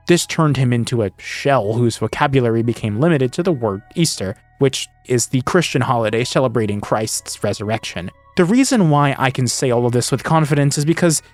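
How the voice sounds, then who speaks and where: A man's voice: medium pitch, dry recording. A man narrating an analytical video essay, scripted but with casual asides.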